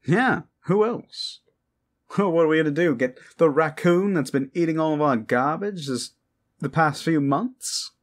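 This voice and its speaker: A man's voice: fairly high pitch, animated, unhurried, voice pushed up. A man voicing a brash, sarcastic and impatient adventurer in an improvised fantasy audio roleplay. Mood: exasperated.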